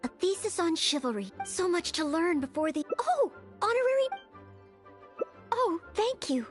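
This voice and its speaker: A woman's voice: very high-pitched, expressive, moderate pace, faint music behind. A woman voicing an earnest, modest young maid and aspiring knight in an anime-style video game, flustered and hesitant. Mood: earnest, anxious.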